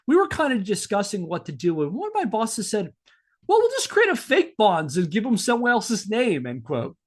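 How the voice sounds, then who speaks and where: A man hosting a podcast, telling a story in a playful, mock-serious way with casual banter. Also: high voice.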